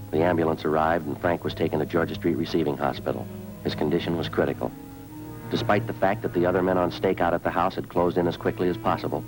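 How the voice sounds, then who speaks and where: A man's voice: low voice, natural voice, rough recording. An American man playing a terse, businesslike police sergeant in a clipped, matter-of-fact old radio crime drama. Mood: grave, factual. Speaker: lead actor and narrator. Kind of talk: 1940s-50s radio drama, clipped procedural narration. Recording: old radio recording with music behind.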